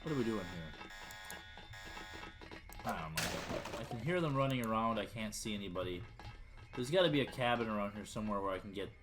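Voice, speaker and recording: A man's voice: fairly low pitch, expressive, a little fast, pauses often, noisy background. A man giving casual, wry commentary as he plays a video game, often muttering to himself. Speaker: let's play video game host.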